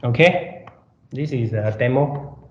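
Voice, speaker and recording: A man giving a live product demonstration in halting, simple non-native English, often repeating himself. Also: medium pitch. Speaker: product presenter. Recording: roomy echo, dull sound, faint noise.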